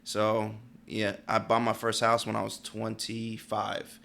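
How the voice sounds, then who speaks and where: Brief: gravelly young barber from Pennsylvania, low and flat, easygoing storyteller. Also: male voice, takes it slowly. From Pennsylvania, United States. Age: late 20s. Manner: laid-back storyteller, leans on "like" and "you know". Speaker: barber and podcast guest. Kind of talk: casual interview chat.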